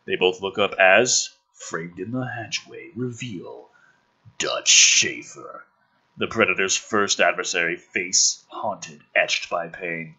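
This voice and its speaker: A man with a forceful, husky voice does dramatic script reading and casual commentary. His pitch swings widely as he gets exasperated. He is sarcastic and swears freely, with groaning asides. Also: animated, slow, rough recording. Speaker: host of a gaming and film commentary channel.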